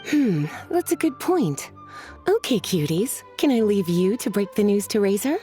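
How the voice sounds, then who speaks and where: A woman's voice: mid-range voice, big pitch swings, normal speed, dark tone, soft background music, lightly acted. An actress playing a languid, flirtatious female mentor who calls others cuties and sweetie. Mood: teasing. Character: sultry, teasing female mentor and librarian.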